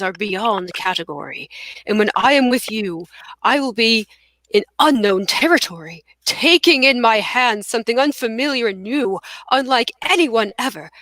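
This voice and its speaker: A woman reading the part of a self-absorbed male poet, wooing grandly with dramatic pauses in a play table read. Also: a little high, a bit bright, dry recording.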